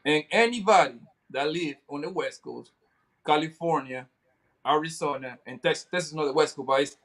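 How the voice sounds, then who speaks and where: A man's voice: on the high side, a little fast, fairly bright tone. A man giving casual commentary as a panelist, emphatic and slangy, often repeating himself.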